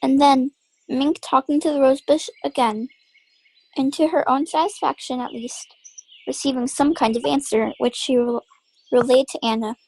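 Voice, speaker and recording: High, creaky-voiced woman narrating a story. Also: fairly even intonation, normal speed, darkish tone, low-quality recording. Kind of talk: scripted dramatic narration. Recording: faint noise and birdsong behind.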